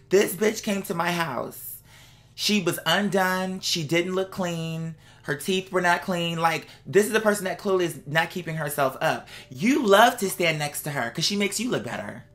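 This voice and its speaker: Blunt, sassy woman ranting, slightly low-voiced. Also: a bit bright. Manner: blunt, sassy, profane, slangy; emphatic, exasperated storytelling. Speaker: vlog host. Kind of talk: casual rant to viewers. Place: indoors at home.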